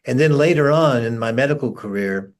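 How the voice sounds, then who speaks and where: A man's voice: medium pitch, expressive, fairly quick. A middle-aged man telling anecdotes in a casual conversation. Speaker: conversation participant and former military pilot.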